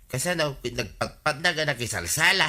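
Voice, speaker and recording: A man's voice: fairly high pitch, animated, a little fast, pushed and bright. A man playing a nosy, teasing villager who exclaims and needles others in a comic Ilocano radio drama. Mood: gossipy.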